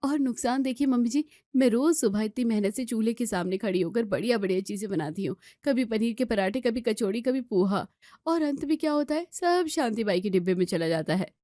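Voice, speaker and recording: A woman plays an anxious young Indian daughter-in-law in a family storybook drama. She complains emphatically in a raised pitch. The recording is dry and close. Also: animated, brisk, warm tone, no echo, close to an everyday voice. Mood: worried, indignant. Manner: fretful, emphatic. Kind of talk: acted Hindi family story dialogue.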